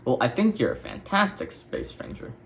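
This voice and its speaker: A man's voice: medium pitch, huge pitch swings, moderate pace, gravelly, a bit bright, a little hiss or hum, heavily acted. A man reading a storybook as a friendly, admiring female cowgirl toy who speaks encouragingly.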